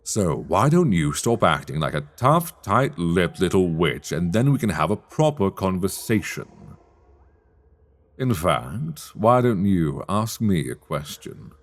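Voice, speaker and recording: Man acting a deep, slow, menacing sorcerer professor. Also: very animated, heavily acted. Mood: dry, haughty. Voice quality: low, creaky. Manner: deliberate, condescending, silky, teasing, formal diction. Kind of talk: slow dark fantasy ASMR roleplay acting. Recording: long cavernous reverb.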